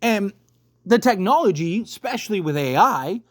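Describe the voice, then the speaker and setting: Higher-voiced man giving casual rambling commentary. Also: expressive, normal speed, no echo, clean studio sound. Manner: rambling, often tags sentences with "right?". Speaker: YouTube host commenting on movie news. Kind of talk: casual unscripted film speculation commentary.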